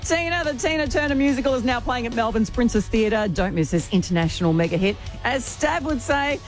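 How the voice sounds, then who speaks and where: A woman's voice: a little high, lively intonation, brisk. An Australian woman presenting on commercial radio in a chatty style. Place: radio studio. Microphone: close studio microphone. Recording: music bed behind voice.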